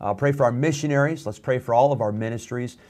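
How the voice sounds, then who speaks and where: A man's voice: mid-range voice, fast talker, a little mellow. A man, a pastor hosting his church's radio broadcast, making friendly, rambling announcements with many fillers. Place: church studio room.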